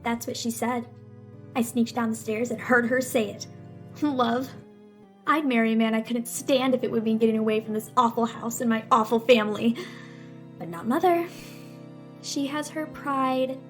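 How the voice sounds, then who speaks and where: Woman as resentful teen girl, high-pitched, sulky, accusing, radio soap melodrama, music behind. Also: moderate pace, pauses often, close to an everyday voice. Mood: bitter, resentful. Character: bitter, petulant teenage girl narrating her grievances. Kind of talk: old-time radio melodrama acting.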